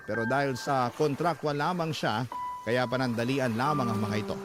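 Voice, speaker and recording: A man's voice: a little high, close to an everyday voice. A man giving scripted, first-person narration of a dramatic story. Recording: loud music under the voice. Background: loud music.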